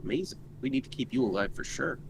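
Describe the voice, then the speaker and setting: A man's voice: medium pitch, expressive, quick pace, gravelly, noisy background. A man chatting casually as a player in a tabletop game. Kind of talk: casual chat, playing a role in own voice.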